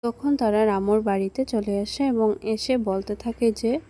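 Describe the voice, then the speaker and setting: A woman narrates a scripted cartoon story. Her pitch is mid-range for a woman, and her tone is warm and relaxed. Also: unhurried.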